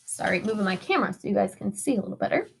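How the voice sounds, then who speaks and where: A woman's voice: mid-range voice, big pitch swings, very fast, vocal fry, slight background noise. A woman teacher reading a children's story aloud expressively, with dramatic emphasis for young listeners.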